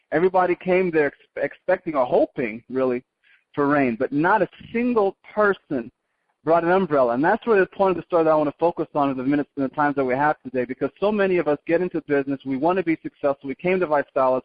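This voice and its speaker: A man's voice: a little high, dry recording. Earnest man telling a story over a phone line. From South Africa. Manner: earnest, restarts words often. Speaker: guest speaker. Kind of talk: motivational storytelling on a conference call. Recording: muffled dry phone line.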